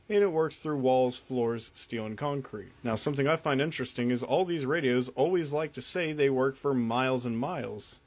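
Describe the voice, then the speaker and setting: Man giving a casual gadget review. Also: mid-range voice. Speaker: product reviewer. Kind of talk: casual product review vlog.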